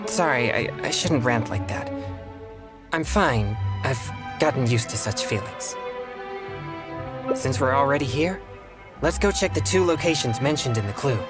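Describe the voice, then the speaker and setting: A man voicing an earnest, polite teenage boy exorcist in a video game, with formal, measured phrasing. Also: medium pitch, lively intonation, over music, natural voice. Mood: wistful, resigned.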